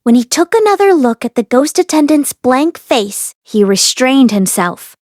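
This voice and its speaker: High voiced woman reading a story out loud, narrator style, really dry close sound no echo. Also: big pitch swings, measured pace. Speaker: audiobook narrator and read-along host.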